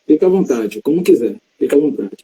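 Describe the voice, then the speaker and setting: A man's voice: fairly high pitch, animated, warm tone, husky, poor audio. A man from Salvador, a religious practitioner explaining his tradition patiently in an interview. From Salvador, Bahia, Brazil. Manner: measured, teacherly, explains terms patiently.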